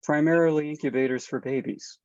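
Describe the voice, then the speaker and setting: A woman reading the part of a weary husband and father in a screenplay table read, with a low-key, halting delivery. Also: steady tone, darkish tone, highs cut off, natural voice. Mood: wry.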